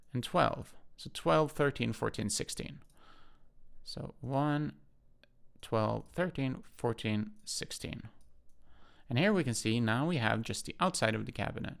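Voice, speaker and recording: A man giving an unscripted software walkthrough, explaining step by step in a matter-of-fact way and pausing to think aloud. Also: mid-range voice, creaky. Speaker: software tutorial presenter. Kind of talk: unscripted screen-share walkthrough.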